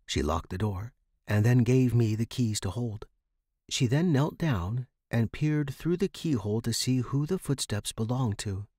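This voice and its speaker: Male fiction podcast narrator, mid-range pitch, scripted storytelling, very dry sound. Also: animated, unhurried, dark tone, dry recording.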